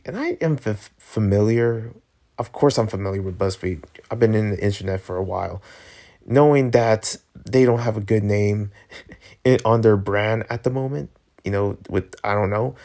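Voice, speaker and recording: A man hosting a commentary show, giving off-the-cuff opinions in an informal, slangy, halting way. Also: on the low side, gravelly. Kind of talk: casual rambling opinion commentary.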